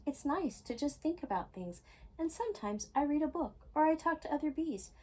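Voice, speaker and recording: A woman's voice: high voice, animated, normal speed, darkish tone, pitched above the performer's own voice. A woman voicing a laid-back, friendly young girl bee in a children's storybook, easygoing and encouraging. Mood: breezy.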